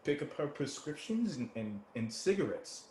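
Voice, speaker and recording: Young homeless man, played by a male actor: nervous, boyish, stammering, higher-pitched, stage drama read in an echoey space. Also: big pitch swings, moderate pace, a little mellow, slight background noise. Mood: sheepish, halting. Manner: stammering, repeats himself. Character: anxious, childlike, eager young homeless man in his twenties. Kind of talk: stage play table reading.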